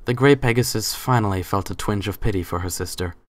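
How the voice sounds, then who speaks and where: A man's voice: lowish voice, fairly quick. A man reading scripted third-person story narration as the narrator of a dramatic reading.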